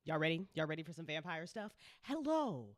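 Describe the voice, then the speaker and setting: A woman's voice: deep voice, very animated, fairly quick, no echo. A woman chatting casually as the host of a live listening party, informal, playful and freely sweary. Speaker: host of a live audio drama listening party, co-founder, writer and director. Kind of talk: casual live-stream chat.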